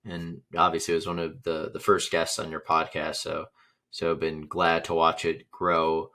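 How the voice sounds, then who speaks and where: Male podcast host, very low voice, hesitant, leans on "you know.". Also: completely flat delivery, sharp tone, a little hiss or hum. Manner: halting, many "you know" fillers. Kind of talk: interview questions.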